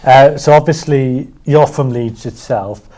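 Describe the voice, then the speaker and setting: Male co-host, mid pitch, upbeat and encouraging. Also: measured pace, warm tone. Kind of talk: studio chat show hosting.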